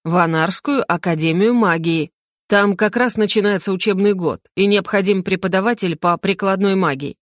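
A woman voicing a smug, sarcastic male mage official in an audiobook, with a slow, mock-courteous, sneering delivery. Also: medium pitch, no room sound, studio quality, lightly acted. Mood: curt, smug. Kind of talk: audiobook character acting.

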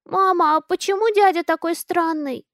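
A woman voicing a curious, chatty four-year-old girl in a high, innocent voice, recorded close and dry. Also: moderate pace, a bit bright, no echo.

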